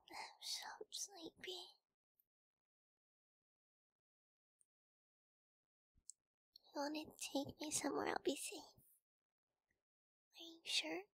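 High-pitched timid wolf girl, acted by a woman. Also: steady tone, slow pace, pauses often, no room sound, heavily acted. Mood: sleepy, trusting. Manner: soft, breathy, often whispering, hesitant. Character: timid injured young wolf girl, wary but sweet. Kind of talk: ASMR girlfriend audio roleplay. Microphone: close microphone.

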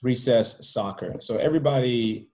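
A man's voice: mid-range voice, normal speed, darkish tone. A man from Rwanda talking casually as an interview guest on a video call, often repeating words and leaning on "you know". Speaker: podcast guest. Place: indoor room at home, video call.

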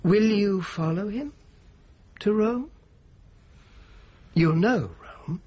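An actor playing a thoughtful, courteous churchman in a radio drama, speaking in a measured way. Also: high voice, husky, dull audio, heavily acted. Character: thoughtful, courteous Neapolitan churchman commissioning sacred art. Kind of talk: naturalistic radio drama acting.

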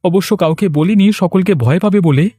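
A man voicing a nervous, excitable villager who is fearful of ghosts in a horror cartoon. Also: high-pitched, normal speed, breathy edge, dry recording, clean studio sound. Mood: spooked. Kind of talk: Bengali horror cartoon dialogue.

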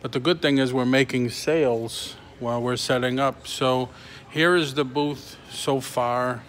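A middle-aged man chatting casually on a live vlog from inside a shop, in a halting way, recorded on a handheld phone. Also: mid-range voice, fairly even intonation, a bit bright. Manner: halting, reading and answering comments. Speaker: toy reseller livestreaming. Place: large concrete-floored antique mall booth indoors. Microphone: phone held at arm's length or closer. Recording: handheld phone with clear ambient noise.

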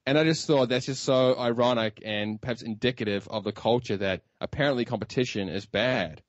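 A man hosting a talk radio show, interviewing a guest in a brisk, restarting way. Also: mid-range voice, no room sound. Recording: dry, dull lo-fi radio audio.

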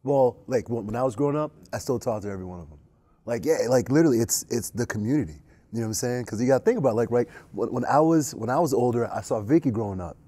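A young man chats casually on a studio panel, full of energy and repeats. His tone is mellow and warm. He is on a lapel mic. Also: mid-range voice, animated, quick pace. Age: young adult. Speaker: club alumnus and staff. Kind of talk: panel interview. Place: studio stage.

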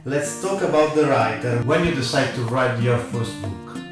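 Man, interviewer, non-native phrasing, medium pitch, clear guitar music behind, very echoey. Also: moderate pace. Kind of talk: interview questions.

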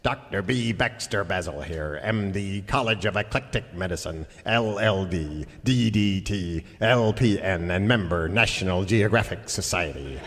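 An actor playing a drawling, patronizing frontier patriarch and huckster in radio comedy. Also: male voice, low voice, lively intonation, measured pace, few pauses, fairly bright tone, exaggerated voice. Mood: pompous pitchman. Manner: drawling, homespun. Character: folksy, patronizing old-West family patriarch and huckster. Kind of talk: old-time radio comedy sketch. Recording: reverberant stage hall.

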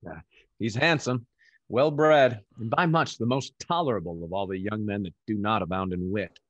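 An actor playing a vain, cynical young bachelor rake in a Restoration stage comedy, haughty and dry, over a video call. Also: male voice, on the low side, moderate pace, no room sound, highs cut off, natural voice. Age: young adult.

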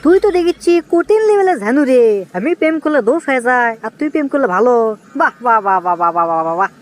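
A man voicing an excitable, scheming young village man in a comic cartoon, with a Bogura accent from northern Bangladesh and an exclamatory, wheedling manner. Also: very high-pitched, quick pace. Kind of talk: comic cartoon dialogue in rural dialect.

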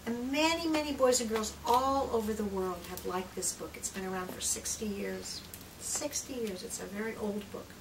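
A middle-aged woman gently and expressively reading a picture book aloud to young children, drawing out phrases and sometimes dropping to a hush. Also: medium pitch. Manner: gentle, expressive, drawn-out phrases with hushed whispers and questions to the listener. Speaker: children's read-aloud presenter and trainer. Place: small indoor room lined with picture books. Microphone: far camera microphone. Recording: distant microphone, clear background room noise.

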